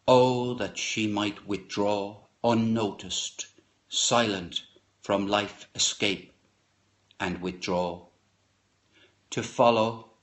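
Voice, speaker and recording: A man reciting poetry aloud in a solemn, expressive way, lingering over short dramatic phrases. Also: fairly low pitch. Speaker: poetry reader, live stream host.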